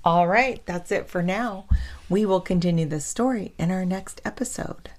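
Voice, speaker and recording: A woman's voice: lowish voice, normal speed, darkish tone. A woman hosting and narrating a podcast for children in an encouraging, presenterly manner. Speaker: podcast host and storyteller.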